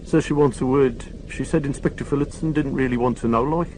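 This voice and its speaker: A polite young constable reports to his superior, as a male narrator puts him on. He is respectful and deferential, and his voice sits higher than the narration. It is a storybook reading. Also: fairly quick, darkish tone, slight background noise, close to an everyday voice, rough recording.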